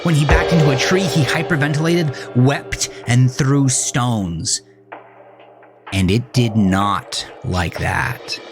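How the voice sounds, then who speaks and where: A man acting as a haunted, rage-filled narrator, telling a first-person horror story in an intense, brooding, deliberate way. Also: mid-range voice, natural voice. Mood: tense, disgusted. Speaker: narrator of a horror audio drama. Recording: music bed under the voice.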